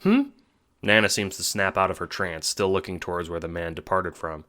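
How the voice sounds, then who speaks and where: A man's voice: low voice, fairly even intonation, a little fast, sharp tone. A man narrating a scripted story in the second person, in the style of an audiobook.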